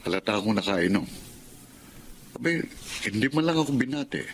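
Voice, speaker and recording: A man's voice: fairly low pitch, very animated, moderate pace, a little hiss or hum. A man playing a stern, worried middle-aged father in a radio drama, earnest and questioning. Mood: concerned.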